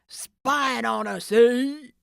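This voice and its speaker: A woman's voice: medium pitch, expressive, unhurried, no room sound, clean studio sound. A woman voicing a snarling, sneering male thief who leads a gang of crooks, threatening and hard. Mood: menacing.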